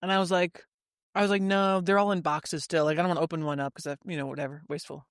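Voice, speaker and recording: Chatty man with a slightly high voice. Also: steady tone, fast talker, dry recording, clean studio sound. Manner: rambling anecdotes with fillers. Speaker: podcast co-host. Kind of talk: casual chat, storytelling.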